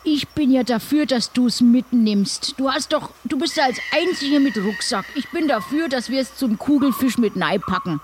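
A man's voice: extremely high pitch, normal speed, hardly any pauses. A man speaking casually as a player in an improvised audio play. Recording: clear background ambient noise.

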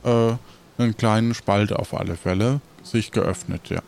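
A man's voice: fairly low pitch, normal speed, gravelly, darkish tone. A man narrating an improvised audio adventure game as game master, conversational and feeling his way through the story.

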